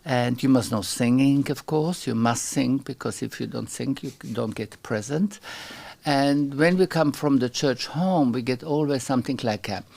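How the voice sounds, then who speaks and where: A middle-aged man from Slovenia with a Slovenian accent, a native Slovenian speaker, chatting as an interview guest and often pausing to search for English words. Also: mid-range voice, creaky, darkish tone. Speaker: guest artist. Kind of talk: casual interview chat.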